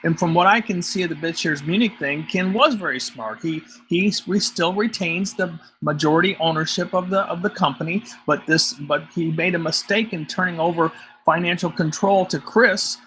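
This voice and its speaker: A man's voice: fairly high pitch, normal speed, fairly bright tone, a little hiss or hum, poor audio. A man in an online community discussion, speaking firmly and measuredly. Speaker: community member.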